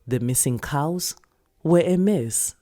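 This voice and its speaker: A woman voicing a calm, soothing old village grandmother in a story reading, speaking deliberately. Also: sits low. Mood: calm, reassuring. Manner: slow, deliberate, calming.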